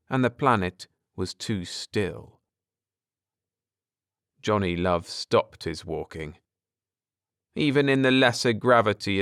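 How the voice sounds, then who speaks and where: A man reading a science fiction story aloud as an audiobook narrator, in a measured, deliberate, dramatic manner. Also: lowish voice, fairly bright tone, clear, resonant tone, dry recording, studio quality. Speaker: audiobook narrator and channel host.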